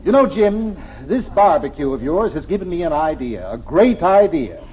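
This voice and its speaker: A blustery, impatient American businessman in a vintage radio sitcom. His voice is high and swings widely as he grumbles with dry sarcasm. The recording is dull and noisy, with an audience behind. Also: sing-song, normal speed. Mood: brisk, insistent. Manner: blustery, exasperated, dry complaining. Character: grumpy, impatient, sarcastic New York businessman, middle-aged. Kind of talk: old-time American radio sitcom acting.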